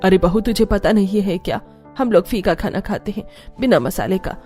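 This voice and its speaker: Woman playing a stern, mellow older mother-in-law. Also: mid-range voice, moderate pace, faint music behind, close to an everyday voice. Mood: scolding. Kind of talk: Hindi cartoon story acting.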